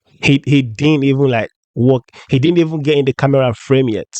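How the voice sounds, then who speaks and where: A man with a flu-roughened voice, hosting a reaction channel and commenting bluntly and jokingly on clips. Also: mid-range voice, moderate pace, darkish tone, no room sound. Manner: blunt, joking, repeats himself. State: hoarse from flu.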